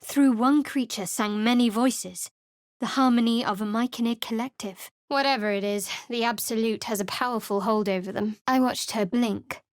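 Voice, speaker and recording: A woman's voice: a little high, moderate pace, no room sound, close to an everyday voice. A woman voicing a guarded, sardonic young woman cleric in a studio game, speaking in a dry, curt, secretive way. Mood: wry. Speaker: game character.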